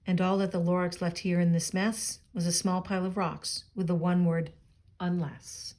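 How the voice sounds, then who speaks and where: A woman reading a children's story aloud expressively, pausing for simple asides to young listeners. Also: on the low side, flat delivery, a little mellow. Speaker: storybook reader.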